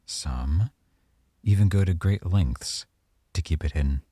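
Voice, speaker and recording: A man playing a teasing, coy young mafia boyfriend with veiled menace, in an unhurried, intimate ASMR roleplay. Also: deep voice, warm tone, slightly rough, no room sound. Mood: coy, smooth.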